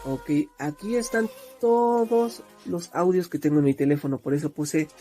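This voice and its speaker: A man's voice: on the high side, normal speed, mellow, faint music behind. A man with a Mexican accent giving an informal step-by-step app tutorial, talking directly to his viewers in a conversational way. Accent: Mexican Spanish. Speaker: tutorial host.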